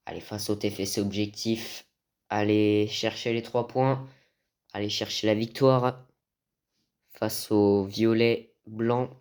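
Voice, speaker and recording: A man's voice: lowish voice, darkish tone. Hesitant Frenchman commentating a football game. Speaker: gaming video host.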